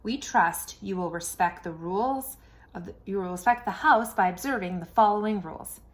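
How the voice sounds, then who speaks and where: Female picture-book reader, expressive narration, mid-pitched, with dramatic emphasis. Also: moderate pace. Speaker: children's storybook reader.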